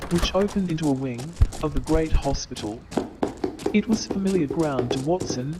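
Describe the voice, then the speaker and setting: A man playing the narrator of a Victorian detective tale in a staged radio play, with formal, descriptive storytelling. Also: on the high side. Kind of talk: scripted narration. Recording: very noisy, loud crowd, clicks.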